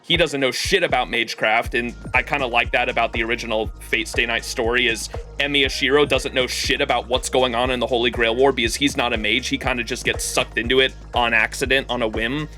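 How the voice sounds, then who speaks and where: Bright-voiced man streaming over game music. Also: mid-range voice, fairly even intonation, a little fast. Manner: rambling, enthusiastic explainer who swears casually. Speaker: live streamer playing a game. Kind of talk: casual live-stream commentary and explanation.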